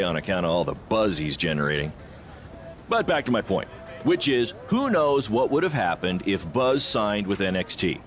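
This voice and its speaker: An actor playing a confident, persuasive small-time wrestling promoter in scripted game dialogue. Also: male voice, lowish voice, slight background noise, lightly acted.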